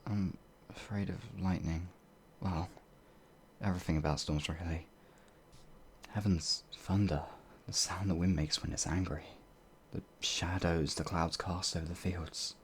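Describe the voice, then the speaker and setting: A man's voice: sits low, steady tone, unhurried, a little hiss or hum. A man playing a timid, apologetic werewolf farmhand in an audio roleplay, hesitant and rambling, stammering as he goes. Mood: meek, fearful. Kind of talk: ASMR audio roleplay monologue.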